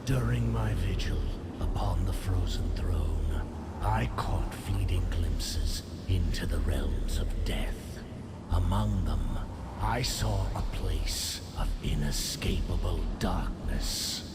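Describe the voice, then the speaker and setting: A man playing a weary, brooding undead former king in a fantasy video game, with a gravelly, breathy rasp and ominous, deliberate delivery. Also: sits low, heavy background noise, full character voice. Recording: loud music behind voice.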